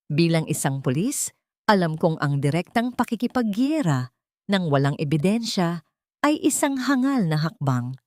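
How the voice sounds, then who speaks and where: A woman's voice: lowish voice, a little mellow, no room sound, studio quality. A woman narrating a scripted first-person dramatic audiobook in a solemn, suspenseful manner.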